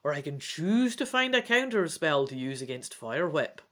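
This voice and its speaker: Lively, swooping-voiced woman reading a gamebook. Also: low voice, normal speed. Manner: self-deprecating, informal. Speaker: host of a gamebook let's play. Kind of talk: dramatic storybook reading with chatty asides.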